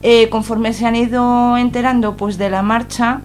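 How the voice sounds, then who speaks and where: A woman's voice: fairly high pitch, fairly even intonation, moderate pace, darkish tone, a little hiss or hum. A woman with an accent from Spain, an activist guest in a radio interview, speaking conversationally in hesitant, piecemeal phrases. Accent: Spanish from Spain.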